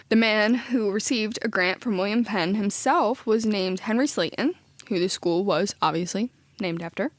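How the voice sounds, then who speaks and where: A woman co-hosting a podcast, chatting and telling a story, lively and quick to laugh. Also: mid-range voice, no echo. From Philadelphia area. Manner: chatty, laughs often, enthusiastic. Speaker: podcast co-host. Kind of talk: casual chat and storytelling.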